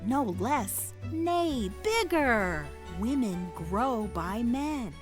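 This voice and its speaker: An actress playing a garrulous, bawdy, fond older nurse, rambling theatrically in a Shakespearean audio play. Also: female voice, fairly high pitch, big pitch swings, very slow, music in the background, heavily acted. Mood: fond, teasing. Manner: rambling, theatrical, chuckling storytelling.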